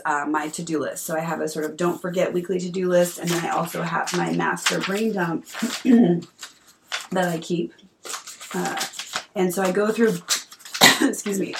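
A woman in her thirties hosting a podcast at home, hoarse from a cold, talking in a chatty, earnest way. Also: lowish voice, noisy background. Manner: chatty and earnest, with fillers and coughs. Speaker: podcast host and coach. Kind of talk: casual solo podcast talk. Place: at home at night.

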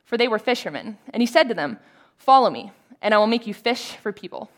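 A woman reading scripture aloud in a calm, measured way. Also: on the high side. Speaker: scripture reader.

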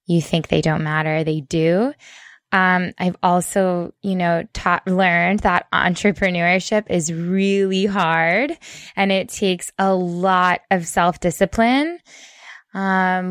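A woman co-hosting a podcast talks candidly about her year, pausing on fillers as she thinks. Her voice sits a little low and comes across smooth and clean. The sound is dry and close, with no room echo. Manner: candid, reflective, many fillers. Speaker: podcast co-host. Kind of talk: casual podcast chat.

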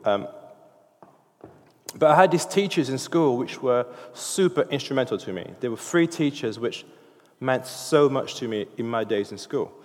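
A man's voice: mid-range voice, darkish tone. French-born man preaching in an echoing hall. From France. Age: 30s. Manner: informal and chatty, with many restarts and fillers. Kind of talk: sermon. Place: church hall.

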